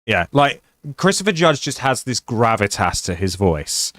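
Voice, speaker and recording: A man with a low voice hosting a casual co-op gameplay session, chatty and playful, swearing now and then. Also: sing-song, unhurried, fairly bright tone, no echo, studio quality. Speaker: gaming channel host.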